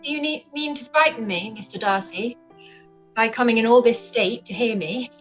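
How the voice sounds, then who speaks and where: A woman playing a witty, spirited young Regency gentlewoman in a radio play, arch and playful. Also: a little high, normal speed, faint music behind, lightly acted, poor audio. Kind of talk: radio play live read.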